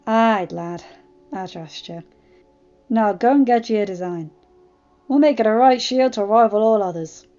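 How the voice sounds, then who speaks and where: A woman voicing a gruff, fatherly old male blacksmith in a storybook reading, with folksy speech and a kindly, remorseful streak. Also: medium pitch, measured pace, pauses often, mellow, muffled recording, faint music behind, natural voice. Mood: warm, encouraging. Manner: folksy dialect, addressing the youngster as lad.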